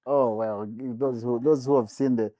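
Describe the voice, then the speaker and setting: A man from Uganda in his forties, a guest talking casually about his life, relaxed, with many fillers and laughs. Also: medium pitch, measured pace, dry recording. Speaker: guest, advertising CEO. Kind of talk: casual interview chat.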